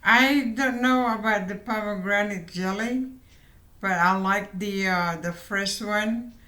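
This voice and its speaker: Slow-spoken woman, echoey room. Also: mid-range voice, bright, forceful tone. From Philippines. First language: Ilocano. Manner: hesitant, searching for words, giggly. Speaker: vlog co-host. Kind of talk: casual chat.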